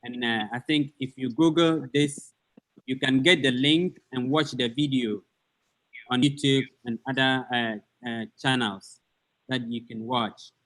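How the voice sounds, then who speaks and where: A man giving an informal presentation over a video call, speaking haltingly and searching for words. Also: medium pitch, fairly even intonation, a bit bright, poor audio. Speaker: guest presenter.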